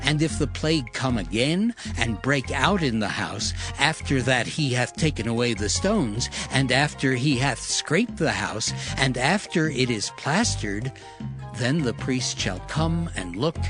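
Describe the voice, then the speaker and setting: A man narrating scripture in a measured, steady cadence. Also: mid-range voice. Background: music.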